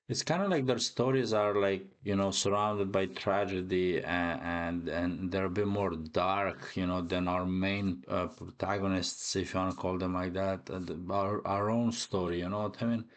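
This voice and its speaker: A man with a fairly low voice hosts a casual reaction video. He rambles and doubles back on his words, thinking aloud in a chatty, informal way. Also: fairly even intonation, normal speed. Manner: hesitant, rambling, repeats words.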